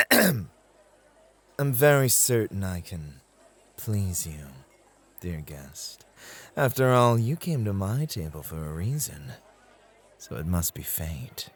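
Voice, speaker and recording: A man's voice: fairly low pitch, heavily acted. A man playing a charming, gallant club host in an ASMR roleplay, flirty and teasing in an effusive, courtly way. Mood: flirty, coaxing.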